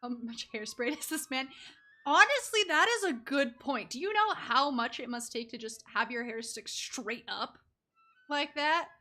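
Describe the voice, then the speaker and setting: A woman's voice: high-pitched, lively intonation, normal speed, sharp tone, faint music behind. A young woman giving casual, rambling live game commentary with giggly asides as a streamer.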